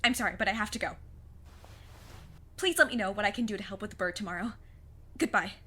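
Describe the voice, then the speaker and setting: A woman playing a plucky, earnest fifteen-year-old witch's apprentice in an audio drama, with a husky voice and formal, precise wording. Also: medium pitch, fast, heavy background noise, close to an everyday voice. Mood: shaken, distressed. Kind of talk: scripted audio drama acting.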